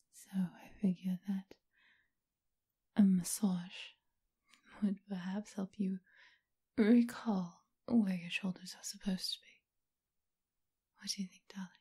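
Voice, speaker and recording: A woman playing a doting, teasing, refined vampire mistress in an intimate ASMR roleplay, whispery and husky. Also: mid-range voice, fairly even intonation, takes it slowly, long pauses, warm tone. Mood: soothing, doting.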